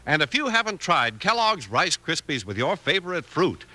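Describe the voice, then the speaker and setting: A man's voice: fairly high pitch, sing-song, normal speed, pushed and bright. An American man announcing in a scripted 1950s radio style, with folksy salesmanship. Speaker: radio announcer and cereal pitchman.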